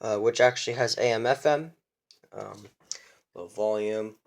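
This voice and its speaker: A man's voice: fairly low pitch, a little slow, creaky, noisy background. A young man giving a casual show-and-tell of his radio collection, rambling, with many fillers and restarts.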